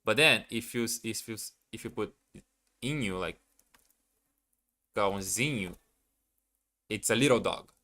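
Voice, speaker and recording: A man's voice: lowish voice, measured pace, long pauses, pushed and bright. A man whose first language is Portuguese, thinking aloud as he studies a language live, hesitant and correcting himself as he goes. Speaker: language learner streaming live. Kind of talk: thinking aloud while studying.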